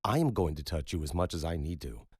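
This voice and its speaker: A man voicing a calm, clipped trauma doctor speaking matter-of-factly in a deep, husky voice. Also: fast, dry recording, clean studio sound. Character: calm, dry-witted male trauma doctor.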